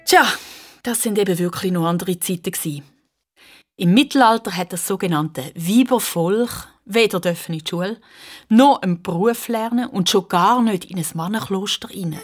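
A female narrator with a Swiss German accent tells a story for children. Her voice sits at a medium pitch and her delivery is vivid and conversational. Music plays clearly behind her. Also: normal speed.

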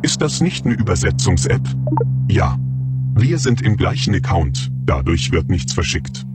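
Shady crook guy, really deep voice, curt, loud music over him. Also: big pitch swings, a little slow. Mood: terse. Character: terse, secretive male criminal talking about bombs. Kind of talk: radio crime drama.

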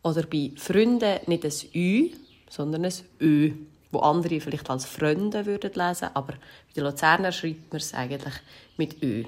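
A woman from Lucerne with a Lucerne Swiss German accent explains things carefully, spelling out single letters. Her voice is lower than most women's. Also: moderate pace. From Lucerne, Switzerland. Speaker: contributor. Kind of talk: recorded personal statement.

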